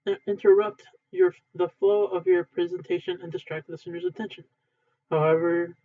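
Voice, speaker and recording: A man with a high-pitched voice reads instructional textbook material aloud. He goes haltingly, a few words at a time, like an amateur practising. The recording is narrow and muffled, like a phone line. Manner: halting, word-by-word reading with stumbles. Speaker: amateur reader, channel owner. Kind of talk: reading a textbook aloud, unedited.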